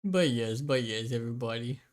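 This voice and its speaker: A streamer of unclear sex with a rough, strained voice, chatting casually and excitably while playing a game, giggly and given to repeating little phrases. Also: medium pitch, brisk. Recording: music behind the voice.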